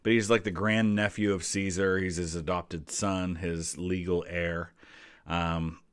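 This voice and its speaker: A man's voice: low voice, little pitch movement, unhurried, clear, resonant tone, dry recording. A man in his forties giving casual, off-the-cuff commentary as a channel host, thinking aloud and explaining as he goes. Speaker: host of a history reaction channel.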